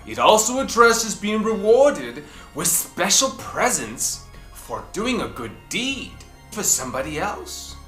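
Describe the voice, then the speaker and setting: A woman hosting a children's book club, reading aloud expressively with theatrical, deliberate emphasis. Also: medium pitch, sing-song, fairly bright tone. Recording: roomy echo, faint music behind.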